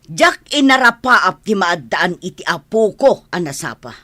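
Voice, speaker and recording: A woman's voice: fairly low pitch, very animated, a little slow, pushed and bright, exaggerated voice. A woman with an Ilocano accent acting in a radio drama as a scolding, bitterly disappointed village mother. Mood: bitter reproach. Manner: scolding, exclamatory, lamenting.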